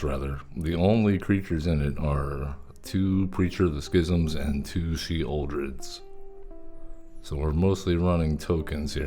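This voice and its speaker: Very deep-voiced man streaming card games. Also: mellow. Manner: easygoing, chatty, thanks viewers. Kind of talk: casual gameplay commentary.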